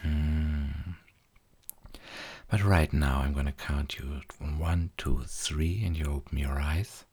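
A man guiding a hypnosis induction in a soft, repetitive way, often dropping to a whisper. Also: very deep voice, measured pace, few pauses, slightly rough.

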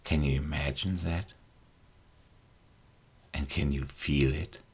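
A man whispering slow, soothing, repetitive suggestions as a hypnotist in an ASMR hypnosis recording. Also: extremely low pitch, steady tone. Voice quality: whispered, breathy.

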